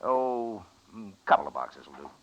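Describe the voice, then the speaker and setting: Radio-western marshal, American, low voice, laconic, watchful, swinging intonation, dull vintage recording. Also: male voice, sing-song, normal speed, gravelly, fairly bright tone, heavily acted. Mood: easygoing. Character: steady, watchful U.S. marshal of the Old West. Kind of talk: 1950s radio western acting.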